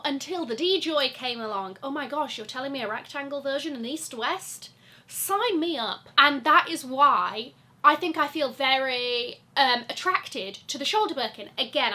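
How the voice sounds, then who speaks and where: Young female vlogger, bright high voice, bunged up with a cold, chatty and gushing. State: congested from a cold. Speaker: luxury fashion vlogger. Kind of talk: chatty sponsored wishlist vlog.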